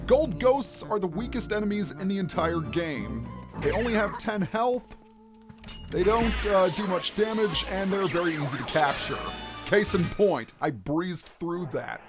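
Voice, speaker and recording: Let's play host, lively swooping intonation, chatty, explanatory, game music behind. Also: medium pitch, measured pace. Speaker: gaming video host.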